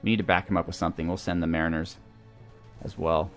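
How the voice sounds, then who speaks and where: Male let's play host, fairly low voice, casual thinking-aloud commentary, faint game music underneath. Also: level tone, quick pace.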